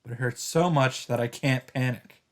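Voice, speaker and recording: A man's voice: medium pitch, moderate pace. A man hosting a let's play, reading visual novel text aloud in an informal, dramatic way with casual asides. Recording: game music behind voice. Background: visual novel soundtrack.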